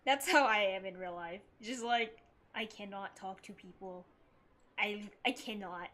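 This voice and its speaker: A woman's voice: mid-range voice, measured pace, fairly bright tone. A young woman live-streaming a game, chatting and reacting casually in an offhand, hesitant way. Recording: clear game music behind.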